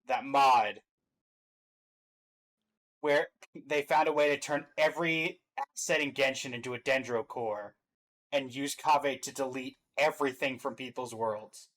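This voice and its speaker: A man's voice: medium pitch, steady tone, a little slow, fairly bright tone, rough recording. A man co-hosting a reaction video, giving casual, opinionated commentary on game trailers in a wry way.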